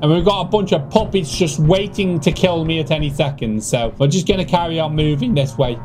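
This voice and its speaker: A young man giving casual, animated horror game commentary in a nervous, joking ramble. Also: fairly high pitch, fairly quick. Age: young adult. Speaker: gaming video host. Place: small room with foam panels at home. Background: eerie game music with organ.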